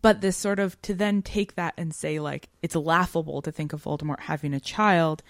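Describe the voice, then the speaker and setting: Low-pitched woman, analytical podcast co-host. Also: steady tone, moderate pace, no room sound, clean studio sound. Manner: analytical, academic phrasing. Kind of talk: conversational literary discussion.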